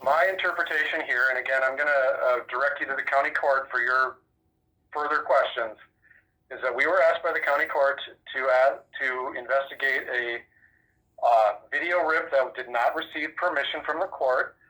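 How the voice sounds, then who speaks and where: An American man speaking as an official over a phone line, giving measured, firm, deflecting answers to press questions. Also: medium pitch, sharp tone. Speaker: sheriff's office representative. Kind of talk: formal answers to a press query.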